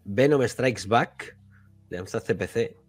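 A man from a Spanish podcast with a Spain accent and a fairly low voice. He hosts casual chat about old games in a rambling, jokey, colloquial manner, with faint music playing behind him. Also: slow, breathy edge, dry recording. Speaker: podcast host.

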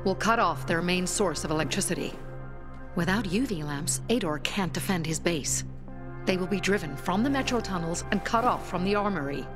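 An actress playing a determined young rebel leader in a video game, firm and commanding. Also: female voice, fairly low pitch, lively intonation, normal speed, lightly acted. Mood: resolute, commanding. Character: determined young female rebel leader giving battle orders. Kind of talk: video game drama acting. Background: music.

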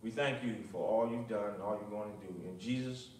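A middle-aged man preaching and praying as a pastor, speaking in measured, deliberate short phrases into a pulpit microphone in a small church. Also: on the low side, a little mellow, slight background noise. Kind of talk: sermon with prayer and commentary. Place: small, nearly empty church, at a pulpit indoors. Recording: echoey church, distant microphone.

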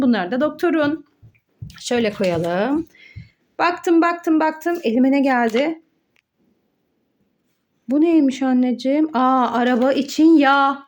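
A mother hosting a children's learning game, speaking in a sing-song, exclaiming way as she asks questions and answers them. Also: high-pitched. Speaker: mother hosting a children's educational play video. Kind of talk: child-directed play narration with questions and answers.

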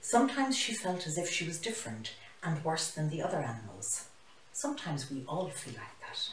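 A woman in her sixties reads a picture book aloud. Her voice is fairly low yet very varied in pitch, picked up from across a roomy living room. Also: big pitch swings, moderate pace, slight background noise. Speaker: storybook reader. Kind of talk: expressive children's story reading. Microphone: camera across the room. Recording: roomy, mic some distance away.

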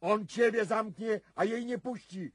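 Grave, high-pitched gentleman. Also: measured pace, a little hiss or hum. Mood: stern. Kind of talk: radio drama acting.

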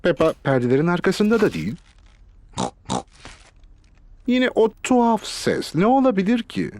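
A man narrating a children's cartoon as a storyteller, in simple, expressive scripted sentences. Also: high-pitched, fairly quick.

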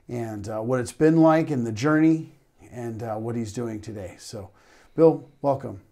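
A man's voice: mid-range voice, mellow. An American man hosting a podcast, asking questions in a casual interview style.

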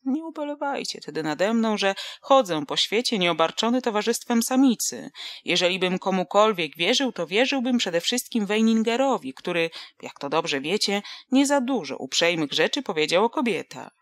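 A man's voice: high-pitched, expressive, normal speed, a bit bright, dry recording. A reader voicing a grandiloquent, tipsy male vagabond philosopher who speaks in a pompous, mock-oratorical way. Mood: declaiming.

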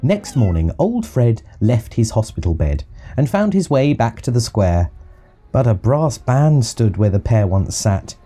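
Animated, mellow man reading a children's story. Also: fairly low pitch. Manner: rhythmic, expressive verse reading. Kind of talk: scripted children's audiobook narration.

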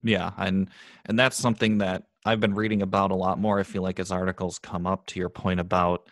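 Male podcast host, deep voice, conversational and reflective, dry recording. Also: level tone, brisk, fairly bright tone, dry recording. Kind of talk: podcast interview.

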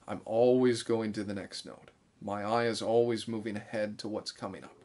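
A man in his thirties giving an instructional talk as a musician teaching, explaining things in a deliberate way. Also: fairly low pitch, steady tone, darkish tone. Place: small bare room indoors.

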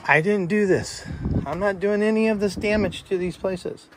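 Male vlogger, fairly high voice, heated and repetitive, outdoor camera mic with traffic noise behind. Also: moderate pace. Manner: insistent, repetitive, heated when addressing critics. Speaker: urban explorer vlogger. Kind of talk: defensive walking commentary. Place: outdoors at abandoned desert ruins beside a highway. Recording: outdoor road noise clearly audible. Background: passing cars and wind.

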